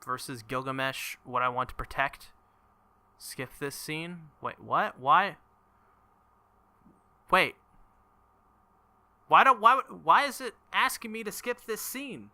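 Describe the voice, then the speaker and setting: Bright-voiced man reading a visual novel. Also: on the high side, slow pace, pauses often. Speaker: let's play video host.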